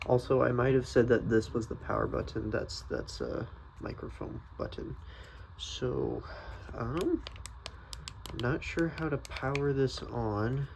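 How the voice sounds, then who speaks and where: Hesitant man with a creaky voice, slow-paced. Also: medium pitch, animated, darkish tone, a little hiss or hum. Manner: hesitant, thinking aloud, tentative. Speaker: product reviewer. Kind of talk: casual unscripted product review.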